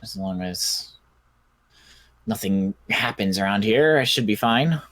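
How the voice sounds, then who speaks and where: A man playing a measured, curious doctor who studies zombies in an improvised apocalypse game roleplay, talking deliberately. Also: fairly low pitch, lively intonation, a bit bright, no room sound. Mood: businesslike.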